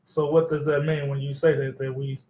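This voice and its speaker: A man at a family sit-down quietly asking a halting question. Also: on the high side, level tone, roomy sound, highs cut off. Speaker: guest at the sit-down.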